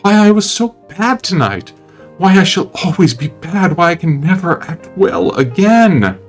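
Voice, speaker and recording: A man's voice: high-pitched, big pitch swings, a little slow, pitched above the performer's own voice, heavily acted. A man voicing a rapturous, romantic young actress with lingering, tender delivery in an audiobook, music behind. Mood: dreamy joy. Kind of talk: dramatic audiobook character reading. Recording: music under the voice.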